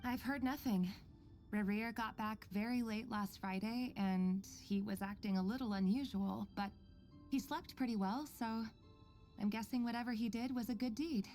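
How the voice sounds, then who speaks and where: A woman playing an earnest, composed researcher in a video game, speaking in a measured, articulate and quietly caring way. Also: on the high side, soft background music, lightly acted. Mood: gentle, guarded. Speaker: voice actor in a game story quest. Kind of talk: scripted game dialogue and log narration.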